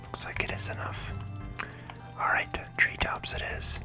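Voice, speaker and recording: Someone giving gentle, unhurried whispered ASMR commentary while playing a video game, talking through each move close to the microphone. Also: music in the background. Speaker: video game playthrough host.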